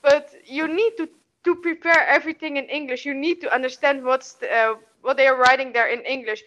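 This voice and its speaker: Dutch-accented woman interviewee, higher voice, hesitant, dull muffled recording. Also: steady tone, a little fast, fairly bright tone. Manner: hesitant, many restarts and fillers. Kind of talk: casual conversational interview.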